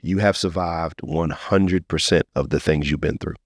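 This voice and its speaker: Man, deep low voice, dry studio-like closeness, casual podcast talk, reflective, encouraging, colloquial. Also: steady tone, moderate pace, no room sound. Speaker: podcast host who also preaches.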